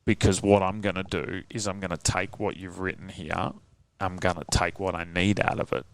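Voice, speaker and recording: A man with a very deep voice, a singer-songwriter guest telling a story in a casual interview, earnest and rambling with many fillers and restarts. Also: level tone, normal speed.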